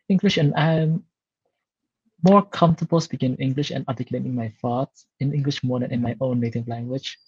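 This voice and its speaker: A man in his twenties from Cambodia, a Khmer speaker, answering interview questions in a steady, articulate way. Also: medium pitch, breathy edge, no room sound, lo-fi sound. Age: 20s. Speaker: guest, student.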